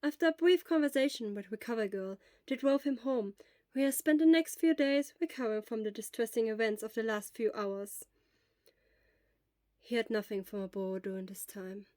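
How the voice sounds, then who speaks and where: A woman's voice: fairly high pitch, moderate pace, a little mellow, dry recording. A woman reading a scripted story aloud as a narrator. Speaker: podfic reader.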